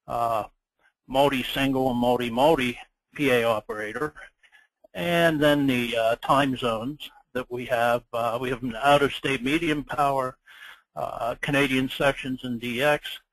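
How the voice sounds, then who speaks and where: A man giving an informal webinar presentation as an organizer, speaking haltingly with frequent ums and uhs. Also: medium pitch, fairly bright tone, no room sound, low-quality recording. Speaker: contest chairman presenting a webinar. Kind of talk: informal slide presentation of contest rules. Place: indoors, online webinar.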